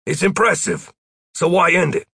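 Audiobook fantasy role: male reader as older wizard mentor, raised pitch, sly, folksy, persuasive. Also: moderate pace, gravelly, bright, forceful tone, husky, no echo, studio quality, full character voice. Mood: persuasive, scheming.

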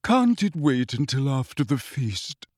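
A man voicing a courteous, melancholy gentleman ghost with a formal, wistful, resigned delivery for an audiobook. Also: mid-range voice, sing-song, a little slow, no echo, clean studio sound. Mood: uneasy, resigned. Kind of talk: audiobook character acting.

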